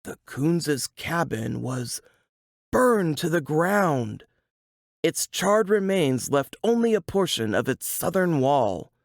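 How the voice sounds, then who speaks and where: A woman reading a suspense novel aloud in a dramatic, deliberate storytelling manner. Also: sits low, very animated, creaky, no echo, studio quality. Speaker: author reading from their novel.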